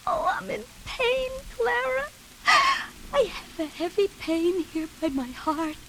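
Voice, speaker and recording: An actress playing a deranged, ailing and bitter woman in a 1940s radio thriller, halting and melodramatic, evasive and menacing. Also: very high-pitched, lively intonation, vocal fry, heavily acted, rough recording. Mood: hysterical, pained. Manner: halting, melodramatic, repeats herself. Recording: hissy old radio recording with background noise.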